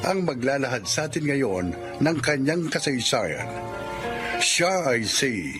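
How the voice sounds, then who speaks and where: A man's voice: mid-range voice, sing-song, slow, music in the background. A man reading a scripted, dramatic introduction as announcer of a radio drama.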